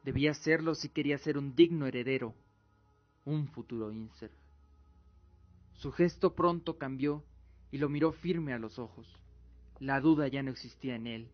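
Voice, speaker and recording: A man's voice: medium pitch, moderate pace, pauses often, muffled recording. A man reading scripted dramatic narration as the storyteller of an audio drama.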